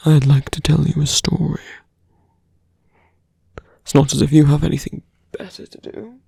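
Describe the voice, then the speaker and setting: A performer voicing a suave, menacing male villain in an intimate ASMR roleplay, slow and teasing, with a breathy, gravelly voice that often sinks to a near-whisper. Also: medium pitch, level tone, mellow, no room sound, studio quality, heavily acted. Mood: persuasive, condescending. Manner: slow, deliberate, teasing, condescending.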